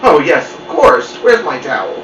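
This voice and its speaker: A man's voice: fairly high pitch, huge pitch swings, normal speed, a bit bright. A man voicing a bumbling, earnest father in a children's storybook, hesitant and flustered. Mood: distracted. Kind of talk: children's storybook read-aloud. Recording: muffled, roomy, distant mic with noise.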